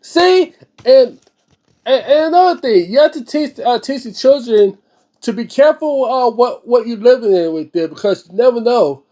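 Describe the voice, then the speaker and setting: A man with a high-pitched, mellow voice reads aloud like the host of an informal book series. His delivery is halting, and he often trips over and repeats words. His phrasing is casual and slangy when he comments. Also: animated. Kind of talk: dramatic book reading with informal spoken commentary.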